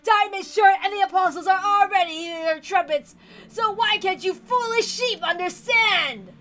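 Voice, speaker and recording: An aged, tyrannical patriarch from a visual novel voiced by a woman, raving and bombastic. The voice is pitched high and bellows with theatrical, drawn-out words, over faint music. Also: pushed and bright. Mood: furious.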